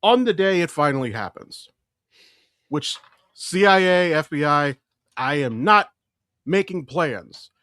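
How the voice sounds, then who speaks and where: A man's voice: fairly high pitch, lively intonation, takes it slowly, pauses often, sharp tone, no room sound, studio quality. A man co-hosting a podcast, talking casually and opinionatedly in a blunt, profane way. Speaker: podcast co-host.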